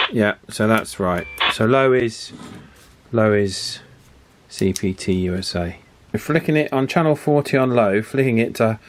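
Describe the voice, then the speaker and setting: A man giving casual hands-on repair commentary, thinking aloud in a hesitant, methodical way and repeating himself as he works. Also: lowish voice. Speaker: radio repair hobbyist presenting a video.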